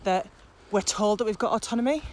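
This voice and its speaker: A woman's voice: mid-range voice, brisk. A British woman co-hosting a podcast in casual conversation, recorded outdoors while walking. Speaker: podcast co-host, corporate trainer. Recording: outdoor recording with clear traffic noise.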